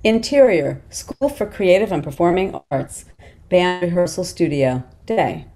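Mellow, lowish middle-aged woman narrator. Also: animated, a little slow. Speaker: reader of stage directions. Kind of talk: scripted narration.

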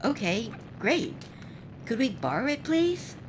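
A woman voicing a small fairy companion in a cartoon parody, sharp, scolding and politely pointed. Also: a little high, very animated, takes it slowly, background noise.

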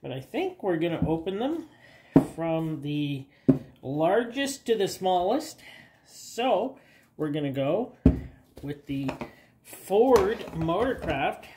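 A woman's voice: fairly low pitch, very animated, heavy background noise. A woman with a cold hosting a garage channel, chatting casually and rambling with fillers while cutting apart parts on an outdoor table. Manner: rambling, self-deprecating, halting with fillers. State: has a cold. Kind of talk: casual hands-on DIY narration.

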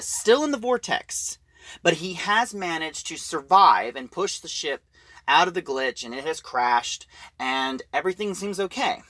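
Male reviewer, slightly high voice, very animated, emphatic and halting as he recounts a plot. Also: no room sound. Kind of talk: informal spoken plot review of an audio drama.

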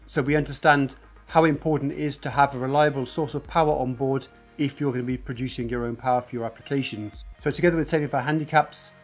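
A man in his forties presenting a scripted company explainer to camera in a dark studio, earnest and steady, gesturing with his hands as he talks. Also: fairly high pitch. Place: dark studio set with a generator on display. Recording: faint music bed under the voice.

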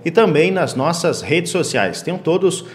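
A young man anchors a small local TV news show. His voice sits a little high and his delivery is steady and formal, recorded on a lapel mic in a small studio. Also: normal speed. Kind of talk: read local news bulletin.

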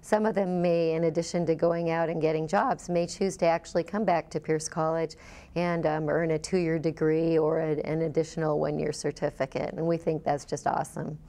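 A woman college instructor explaining a teaching program in a measured way. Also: low voice, flat delivery, warm tone.

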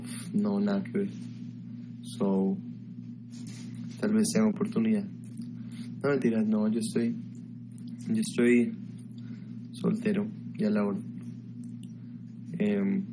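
A man's voice: fairly low pitch, level tone, slow pace, pauses often, background noise. A young man from Ecuador chatting casually with live viewers from his bedroom, gossiping and answering their questions into a webcam mic. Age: young adult. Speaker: livestreamer. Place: small bedroom, indoors. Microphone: webcam mic at desk.

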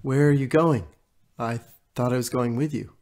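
A man playing a hesitant, puzzled fifteen-year-old boy in an audio play, casual and halting. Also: medium pitch, fairly even intonation, darkish tone, natural voice.

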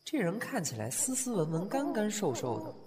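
A man's voice: high-pitched, a little fast, a crowd in the background. A man voicing a kind, flustered young scholar in a costume radio drama, chatty and fretful. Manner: chatty, animated, fretful inner asides. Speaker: voice actor.

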